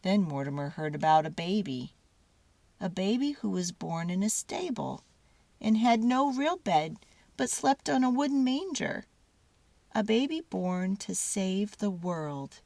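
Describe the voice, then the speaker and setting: A woman reading a children's storybook aloud dramatically, with theatrical, deliberate phrasing and drawn-out words. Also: mid-range voice, animated, vocal fry, a little mellow, no room sound.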